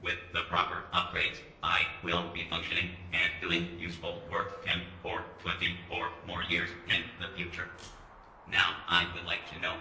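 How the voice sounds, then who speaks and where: A man playing a formal, matter-of-fact robot giving a measured, monotone presentation in a radio drama. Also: low voice, full character voice. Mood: formal, flat. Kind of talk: 1990s science fiction radio drama acting. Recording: echoey room, faint background noise.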